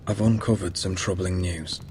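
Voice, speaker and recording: A man's voice: sits low, fairly even intonation, moderate pace, a little mellow. A man playing a grave, burdened young lord in a fantasy video game drama, solemn and formal. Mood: grave, worried. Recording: clear background noise and ambience.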